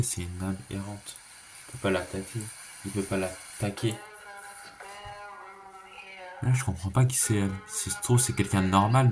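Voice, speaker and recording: A man giving a casual, slangy reaction commentary, thinking aloud and repeating himself. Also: on the low side. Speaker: reaction video creator. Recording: clearly noisy background.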